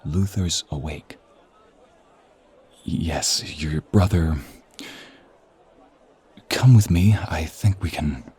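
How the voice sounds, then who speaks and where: Man as hesitant polite assistant, low-pitched, very husky, soft-toned, deferential, audio roleplay. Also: extremely slow, pauses often, heavily acted. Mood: careful. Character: polite, hesitant male assistant sent by a doctor.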